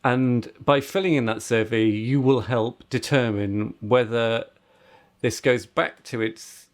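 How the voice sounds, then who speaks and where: A man's voice: medium pitch, steady tone, slow pace. A man chatting casually as a podcast co-host, with an explanatory way of talking.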